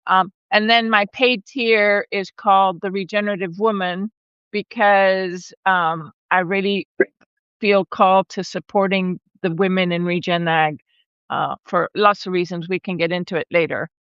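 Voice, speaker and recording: A woman in her forties with a bright, crisp voice tells her story in a chatty way and laughs often. She grew up in Argentina and Brazil and speaks on a live video call. The sound is dry and a little dull. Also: mid-range voice, steady tone, unhurried, no room sound. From born in Argentina, raised in Brazil. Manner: warm, chatty, laughs often, frequent restarts. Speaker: regenerative rancher and holistic management educator. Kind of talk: casual conversational storytelling. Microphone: video call microphone.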